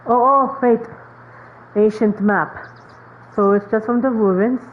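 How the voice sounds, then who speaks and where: A woman's voice: a little high, fairly even intonation, slow, phone-quality sound, a little hiss or hum. A woman streaming a game with casual, informal commentary, rambling and reacting as she plays.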